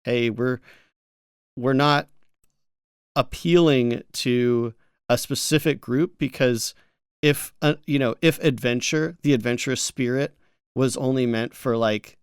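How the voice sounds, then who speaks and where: A man co-hosting a gaming news show in casual, informal, somewhat halting talk peppered with filler words. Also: medium pitch, clean tone, no echo, studio quality. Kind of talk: casual podcast chat.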